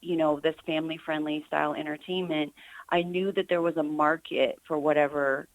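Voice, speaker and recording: A woman's voice: low voice, steady tone, moderate pace, dry recording, rough recording. A middle-aged American woman giving earnest, enthusiastic answers as an author being interviewed on radio.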